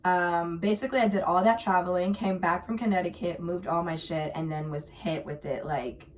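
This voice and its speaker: A woman co-hosting a podcast from a home podcast room, rambling casually with laughs, her voice still slightly raspy after an illness. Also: fairly low pitch, fairly even intonation, moderate pace, slight background noise. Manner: chatty, rambling, with frequent laughs. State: voice recovering from bronchitis. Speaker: podcast co-host. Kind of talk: casual chat.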